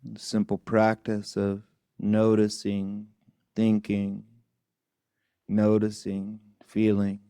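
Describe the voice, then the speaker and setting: A man with a low, mellow voice guides a meditation in a soft, gentle manner. He speaks very slowly and keeps his pitch very even, leaving long pauses between short phrases. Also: smooth, no room sound, clean studio sound. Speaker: meditation teacher.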